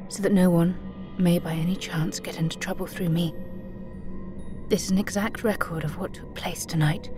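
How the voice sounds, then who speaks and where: Audio drama role: actress as weakened, fearful young woman, lowish female voice, whispery delivery, music underneath. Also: lightly acted. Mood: weak, solemn. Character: frightened, weakened young woman writing her last record. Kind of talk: audio drama first-person narration.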